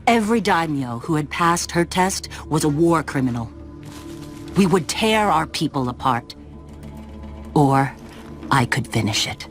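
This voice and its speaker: A woman's voice: low voice, expressive, unhurried, pauses often. An actress playing a resolute, vengeful female samurai warlord, solemn and declamatory in a dramatic video game narration. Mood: grim resolve. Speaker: narrator and warrior character. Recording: loud music behind the voice. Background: loud music.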